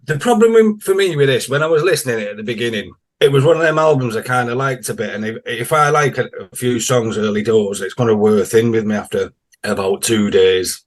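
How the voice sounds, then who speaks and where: A man's voice: medium pitch, fairly quick. A man guest on a podcast panel, giving easygoing, conversational opinions. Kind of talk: casual podcast chat.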